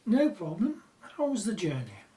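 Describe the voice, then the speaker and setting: A woman's voice: medium pitch, wildly swooping pitch, moderate pace, mellow. A woman playing a breezy, easily bored British Prime Minister in an audio drama, chatty and self-absorbed, with a British accent. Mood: breezy delight.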